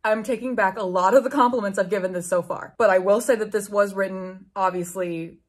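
A woman's voice: mid-range voice, fairly even intonation, fairly quick. A woman in her twenties giving casual, sweary, incredulous reaction commentary as she reads aloud in a room at home. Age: 20s. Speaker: book YouTuber. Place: small room at home with bookshelves.

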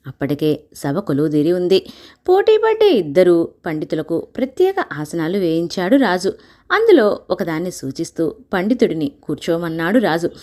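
A woman telling a children's story in an expressive, scripted storytelling style. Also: on the low side.

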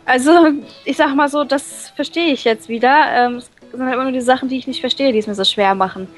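Halting high-voiced woman over music. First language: German. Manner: halting, searching for words. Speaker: let's play co-host. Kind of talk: reading visual novel text aloud, casual translating and commentary. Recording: music playing behind the voice.

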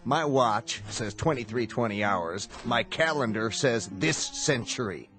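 A man's voice: medium pitch, animated, measured pace, a bit bright. A man acting in a radio drama as an impatient, skeptical commanding officer with a dry, authoritative, sarcastic delivery. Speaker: actor. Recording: radio drama with music underneath.

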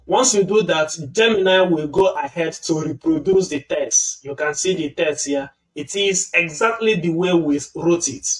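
A man's voice: a little high, normal speed, hardly any pauses, distant mic, poor audio. A man hosting a screen-recorded tutorial, patiently explaining each step. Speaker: tutorial host.

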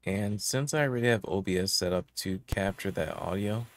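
A man's voice: low voice, a little fast, a little hiss or hum. A man in his thirties giving a casual how-to explanation as host of a tech tutorial. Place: small indoor room with electronics gear.

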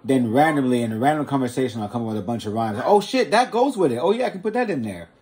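A man's voice: mid-range voice, lively intonation, fast, a little mellow. A man, a rapper, chatting casually on a podcast in an informal, slangy, anecdotal way. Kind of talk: casual chat.

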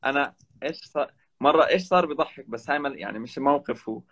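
A young man, a travel vlogger guesting on a live video call from indoors, telling stories in a relaxed, animated way. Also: mid-range voice, racing. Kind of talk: casual live chat. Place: indoor room. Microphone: video call, webcam.

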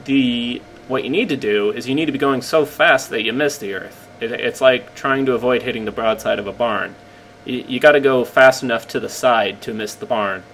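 A man with a slightly croaky voice casually commenting on his gameplay, rambling and poking fun at himself as he explains. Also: mid-range voice, moderate pace, a bit bright, a little hiss or hum, low-quality recording. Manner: rambling, self-deprecating, explains as he plays.

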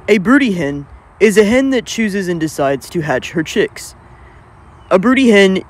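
A man narrating an instructional voice-over as the channel host, in a clear, steady teaching manner. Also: fairly high pitch.

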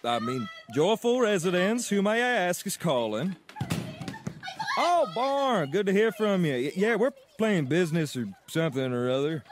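A man's voice: high voice, sing-song, moderate pace, noisy background. An actor playing a clumsy, cheerful adult mailman who talks in a folksy drawl and stammers when he gets flustered. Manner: flustered, stammers under pressure. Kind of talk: cartoon voice acting.

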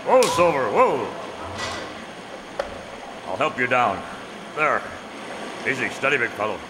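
A man acting a steady, heroic masked cowboy lawman in an old-time radio western, commanding and earnest. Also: mid-range voice, takes it slowly, noisy background. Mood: urgent, reassuring. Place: stage in a community hall. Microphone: stand microphone. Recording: echoey hall stage.

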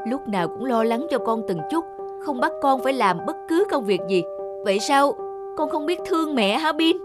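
A woman voicing an anxious, doting, overprotective mother in an animated moral tale, fussing and scolding. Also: sits high, normal speed. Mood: upset, reproachful. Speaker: cartoon voice actor. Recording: loud background music. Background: music.

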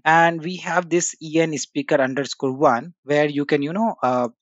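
A man talks through a coding demo on screen, casual and full of "uh" and "you know." His voice sits a little high and dry. It comes through a dull, lo-fi desktop mic. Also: normal speed, no room sound. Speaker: tech tutorial host. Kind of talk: screen-share software walkthrough.

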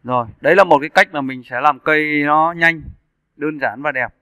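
A man giving a casual, step-by-step software tutorial as an animation instructor. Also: a little high, fairly quick, smooth, dry recording, studio quality. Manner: informal step-by-step explaining. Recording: muffled narrowband phone-like audio.

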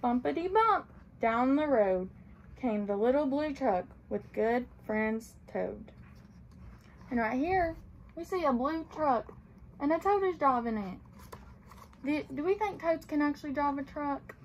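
A young woman with a clearly high voice reads a picture book for young children. She tells it in a sing-song way, talking simply to little listeners. There is faint room noise behind her. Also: lively intonation, unhurried, dull audio. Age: young adult. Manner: sing-song storytelling with simple questions and explanations for small children. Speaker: playschool teacher reading aloud. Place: small tiled indoor room.

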